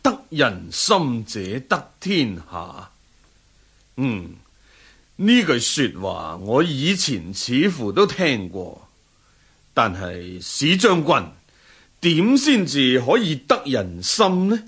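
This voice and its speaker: Radio drama Mongol warlord: commanding, slow, very animated intonation, Hong Kong Cantonese accent. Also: male voice, medium pitch, breathy edge, heavily acted. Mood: pondering. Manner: slow, declamatory, imperious. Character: Mongol general, commanding, receptive to counsel. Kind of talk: historical radio drama acting.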